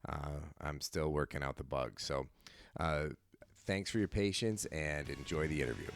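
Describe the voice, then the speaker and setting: A man's voice: a bass voice, normal speed, a little hiss or hum. A man hosting a podcast, introducing his guest in a friendly way with some filler hesitations. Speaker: podcast host. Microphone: video call.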